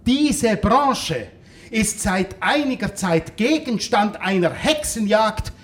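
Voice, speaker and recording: An actor playing a pompous food industry executive in a German radio drama, formal, measured and self-important. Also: male voice, high-pitched, expressive, fairly bright tone, heavily acted. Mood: solemn, self-important. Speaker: gala speaker.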